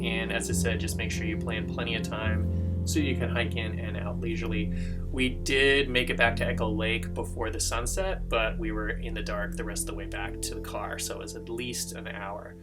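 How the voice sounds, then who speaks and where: A man's voice: mid-range voice, little pitch movement, moderate pace, over loud music. A man hosting his own climbing video, chatting casually and rambling through an anecdote with a laugh. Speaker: show host introducing episode. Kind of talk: casual chat.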